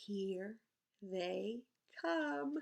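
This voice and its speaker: A woman's voice: mid-range voice, expressive, very slow, creaky, pitched below the performer's own voice, full character voice. A woman reading as an anxious storybook schoolteacher bracing for her class, with dramatic delivery. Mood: nervous.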